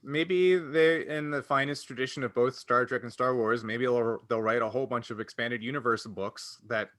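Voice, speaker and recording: A man's voice: medium pitch, steady tone, moderate pace, a bit bright. A man co-hosting a podcast, explaining his thoughts conversationally in a casual roundtable chat. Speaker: podcast co-host.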